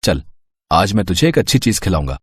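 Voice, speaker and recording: A man's voice: sits low, sing-song, a little fast, slightly rough, dry recording, full character voice. A man playing an easygoing, kindly young city student in a story, reassuring and casual. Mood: friendly.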